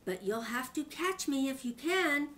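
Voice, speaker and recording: A woman's voice: high-pitched, expressive, a little slow, bright, forceful tone, heavily acted. An older woman voicing a small, cocky gingerbread man in a storybook, boasting in a sing-song way. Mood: cocky, teasing.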